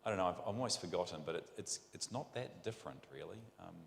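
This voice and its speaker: A man's voice: low-pitched, expressive, fast talker, vocal fry, roomy sound, big-room sound. A middle-aged man with a New Zealand accent preaching a conversational, rambling sermon full of rhetorical questions. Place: church.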